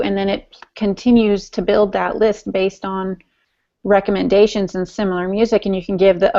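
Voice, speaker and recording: A woman's voice: medium pitch, a little mellow, lo-fi sound. A woman podcast co-host chatting casually in a talkative, teasing manner. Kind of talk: casual chat.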